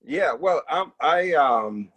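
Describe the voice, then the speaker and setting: A man from the Dominican Republic with a Dominican Spanish accent, answering casually as an interview guest, haltingly, with many fillers and repeated words. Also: on the high side, lively intonation, a bit bright, husky. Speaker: interview guest, percussion educator.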